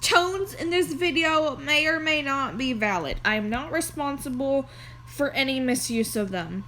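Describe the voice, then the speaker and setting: A woman's voice: sits high, animated, a little slow, bright, forceful tone, slight background noise. A woman hosting a reaction video, chatting casually and reading on-screen text aloud.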